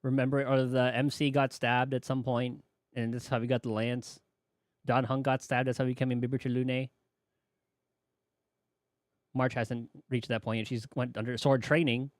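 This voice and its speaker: A man doing casual live reaction commentary as a game streamer, excitable and thinking out loud. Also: mid-range voice, moderate pace, long pauses, dry recording, studio quality. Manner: excitable, musing aloud.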